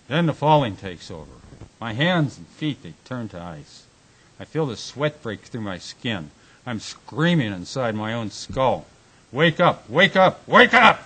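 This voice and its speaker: An actor playing an anguished, brooding husband haunted by nightmares in a radio drama, speaking haltingly with big swings in intensity. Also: male voice, medium pitch, bright, forceful tone, husky, heavily acted, poor audio. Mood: panicked. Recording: hissy amateur workshop recording.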